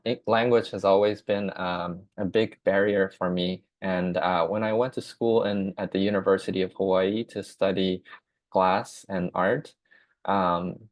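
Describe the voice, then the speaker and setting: A man from Hong Kong with Japanese as his first language talks through slides of his glass art, his speech stumbling through fillers and restarts. His voice sits a little low. The sound is dry, with no room echo. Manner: halting, many fillers and restarts. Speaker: glass artist giving a talk. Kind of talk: presentation with slides.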